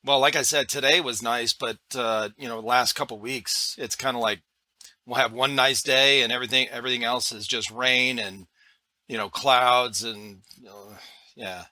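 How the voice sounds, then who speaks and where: A man hosts a relaxed podcast conversation, easygoing and agreeable. His voice is bright and crisp-edged, recorded close and dry without room sound. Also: medium pitch, normal speed, no room sound. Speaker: podcast host. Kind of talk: casual interview.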